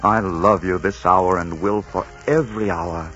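Low-voiced, ardent gentleman highwayman. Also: fairly even intonation, unhurried. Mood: tender, romantic. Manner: suave, courtly, murmuring sweet declarations. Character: dashing, ardent gentleman highwayman, romantic and reckless. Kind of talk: 1940s radio romance acting. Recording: dull 1940s radio recording with music.

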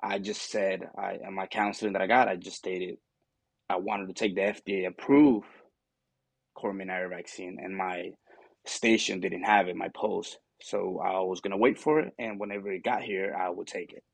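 Venezuelan man, guest, very deep and gravelly, halting answers, dry close sound. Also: steady tone, no room sound. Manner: hesitant, many fillers and restarts. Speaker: guest, army sergeant. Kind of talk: interview answers.

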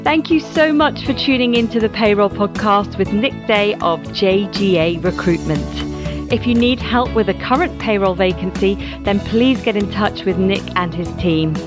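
Woman, scripted outro voice-over, polished, mid pitch, guitar music behind. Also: normal speed. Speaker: show announcer.

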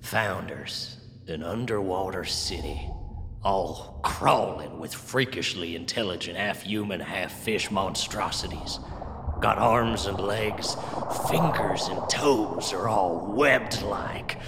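An actor playing a folksy, skeptical working man in an audio drama, rambling colloquially and conspiratorially in a gravelly voice. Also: deep voice, slow pace, background noise. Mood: musing, grumbling.

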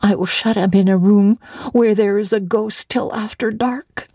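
A woman's voice: mid-range voice, steady tone, a little slow, warm tone, no room sound, studio quality, voice pushed up, lightly acted. A woman voicing a miserable, defiant orphan girl of about nine in a dramatic book reading, blunt and pleading. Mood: frightened, wounded.

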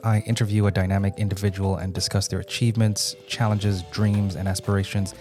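Low-voiced podcast host, faint music. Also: male voice, darkish tone. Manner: reads a prepared introduction, then loosens with fillers. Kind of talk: scripted intro, then interviewing.

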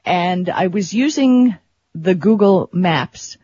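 A woman's voice: on the low side, expressive, slow pace, dark tone, husky, no room sound. A woman co-hosting a tech radio show in casual, chatty, enthusiastic and informal conversation. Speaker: radio co-host. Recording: slightly dull, dry lo-fi radio stream.

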